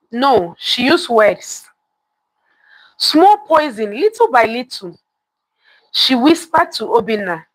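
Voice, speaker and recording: A woman's voice: a little high, a bit bright, dry recording, low-quality recording. A woman telling a folktale live, repeating lines for emphasis and putting questions to her listeners. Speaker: live storytelling host. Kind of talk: live interactive folktale storytelling, addressing viewers.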